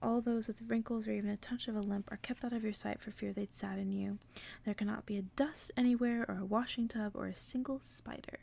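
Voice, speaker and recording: A woman voicing a blunt, teasing old woman in a softly read storybook tale. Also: mid-range voice, steady tone, brisk, vocal fry. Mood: scolding, insistent. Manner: brusque, teasing, scolding. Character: mocking, blunt old spinster woman in a tower.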